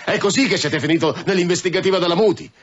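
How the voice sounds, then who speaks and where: A man's voice: high voice, fast, lo-fi sound. An actor playing a wary, blunt police sergeant and anxious family man in a radio drama. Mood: heated, accusing. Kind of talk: naturalistic radio drama acting.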